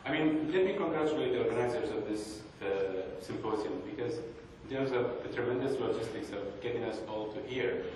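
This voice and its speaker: A young man giving an academic presentation, speaking hesitantly with many pauses and restarts. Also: medium pitch, a little mellow, slight background noise. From Kurdistan, northern Iraq. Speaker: conference speaker. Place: large conference hall. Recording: echoey hall, distant mic.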